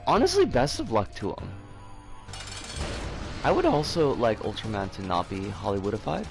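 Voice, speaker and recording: A man with a scratchy, hoarse voice from an oncoming cold, chatting casually and opinionatedly with some swearing as he streams a game. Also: lowish voice, big pitch swings, normal speed, over music. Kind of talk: casual live game commentary and chat.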